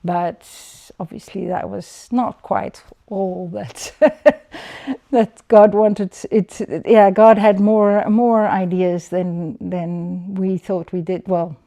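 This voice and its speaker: A woman giving a personal faith testimony in a relaxed, conversational way, full of small fillers and restarts. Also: mid-range voice, slow pace. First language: not Dutch. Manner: conversational, many fillers and restarts, laughs often. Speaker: church member giving testimony.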